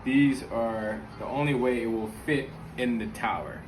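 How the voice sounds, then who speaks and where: Man, medium pitch, informal vlogger, slangy, direct to viewers, tutorial and storytelling style, noisy homemade recording. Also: fairly even intonation, unhurried. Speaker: YouTube vlogger and channel host. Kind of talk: casual hands-on tech tutorial with personal storytelling.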